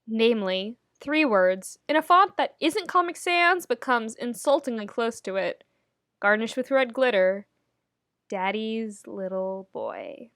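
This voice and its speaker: A woman narrates fiction in an expressive storytelling style. She leans on phrases with wry, theatrical emphasis. The sound is dry, with very little room in it. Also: a little high, a little slow, no echo. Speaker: podfic narrator reading fan fiction.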